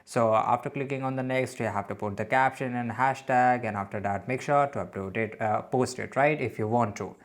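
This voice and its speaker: A man's voice: mid-range voice, moderate pace, hardly any pauses. A man hosting a casual how-to tutorial, explaining step by step and often checking in with a tag question. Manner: often tags sentences with "right?". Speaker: tutorial host.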